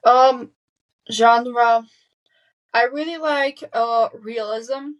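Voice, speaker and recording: A teenage girl whose first language is Slovak talks in English with a fairly high pitch. She answers openly, pausing to recheck facts and restarting her sentences. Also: steady tone, muffled recording. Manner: candid, self-correcting, quick nervous laughs. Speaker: guest. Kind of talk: casual interview chat.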